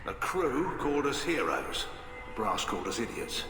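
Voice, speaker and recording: An actor playing a gruff, hawkish middle-aged alien admiral in a video game, blunt, clipped and wry. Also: male voice, mid-range voice, sing-song, normal speed, lightly acted. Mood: wry reminiscing. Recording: echoey hall, music behind.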